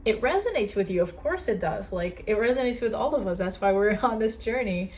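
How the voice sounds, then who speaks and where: A woman's voice: mid-range voice, lively intonation, a little fast, darkish tone. A young woman with a Portuguese accent hosting a casual solo podcast, talking earnestly with many fillers and restarts. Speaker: podcast host.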